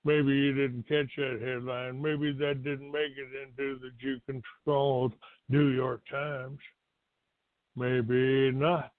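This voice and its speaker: An older American man from Texas hosting a talk show, giving a rambling, halting monologue to his listeners. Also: medium pitch, level tone. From Texas, United States. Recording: roomy, tinny phone-like line.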